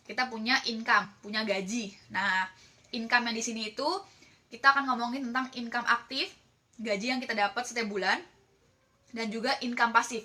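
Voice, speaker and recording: A woman's voice: a little high, sharp tone. An Indonesian-accented woman presenting as a team leader, explaining casually and chattily while often checking in with listeners.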